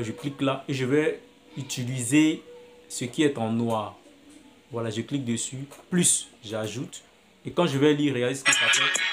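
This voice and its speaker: A man's voice: mid-range voice, slight background noise. A man teaching video editing on a phone app, talking step by step and repeating his actions aloud as he works. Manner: step-by-step, repetitive, thinking aloud. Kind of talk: screen-recorded app tutorial.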